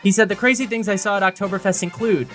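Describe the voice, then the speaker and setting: A man's voice: high-pitched, fast, fairly bright tone, soft background music. A man co-hosting a podcast in a casual, informal, conversational way. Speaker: podcast co-host.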